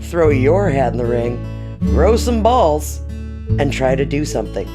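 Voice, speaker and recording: Lady putting on a smug crude small-town mayor guy, pompous and punchy, loud guitar music under it. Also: very deep voice, big pitch swings, a little slow, full character voice. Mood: blustering. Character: self-satisfied, crude, boastful male small-town mayor. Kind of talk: dramatic reading of quotes. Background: loud plucked guitar music.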